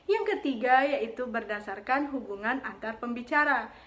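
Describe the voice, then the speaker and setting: A young woman student reading a class presentation, steady but with frequent hesitant fillers. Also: fairly high pitch, moderate pace. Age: young adult.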